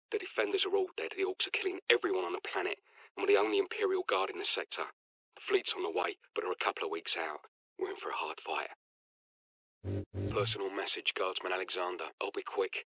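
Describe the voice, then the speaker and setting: A man's voice: low-pitched, pushed and bright, breathy edge, no room sound, studio quality, full character voice. A man playing a weary, grim soldier recording a terse personal log in a video game. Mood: bleak. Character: weary, grim ordinary male guardsman soldier.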